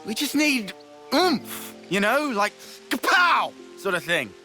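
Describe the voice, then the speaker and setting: A man voicing a brash, impatient young fighter in a video game, blustering casually and halting as he hunts for words. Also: medium pitch, big pitch swings. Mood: awkwardly enthusiastic. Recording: loud game music underneath.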